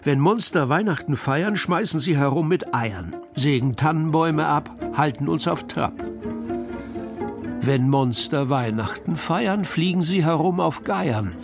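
Male poetry reader, slow-paced expressive recitation for children, music underneath. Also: a little high.

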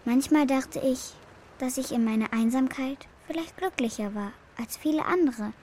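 An actress playing an earnest, brave young granddaughter in the steppe, in a German children's radio drama. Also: female voice, high-pitched, moderate pace, darkish tone, a little hiss or hum, natural voice. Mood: reading, reflective.

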